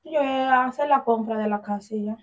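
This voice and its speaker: A woman's voice: fairly high pitch, fairly quick, dull audio. A woman playing a neighbor who complains about her broken television in a comedy sketch. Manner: complaining, insistent. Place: outdoor porch of a rural house.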